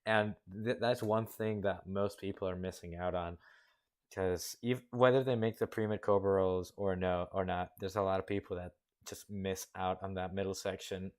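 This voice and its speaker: Low-voiced young man casually critiquing rides. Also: fairly even intonation, moderate pace, darkish tone. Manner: rambling, frank critique with frequent fillers. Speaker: host judging a coaster-building contest. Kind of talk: casual commentary over gameplay.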